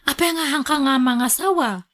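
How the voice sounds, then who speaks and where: A woman's voice: sits high, normal speed, fairly bright tone. A woman acting a flirtatious, wealthy lady boss in a radio drama, coy and teasing. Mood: flirty, teasing.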